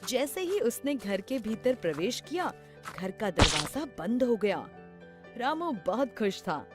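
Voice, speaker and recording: A woman's voice: a little high, sing-song, a little slow. A woman narrating a scripted children's story. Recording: music behind the voice. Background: background music.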